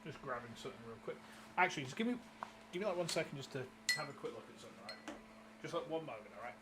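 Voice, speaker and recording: Rambling Yorkshire streamer, lively voice. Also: male voice, mid-range voice, very fast, heavy background noise. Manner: rambling, jokey storyteller. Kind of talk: casual gaming chat. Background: game music.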